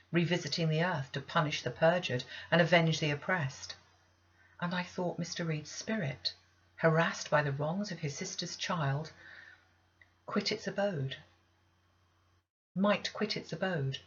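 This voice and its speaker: A woman's voice: low-pitched, breathy edge. A woman reading a classic novel aloud as literary first-person narration, in a dull, lo-fi recording. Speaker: audiobook reader.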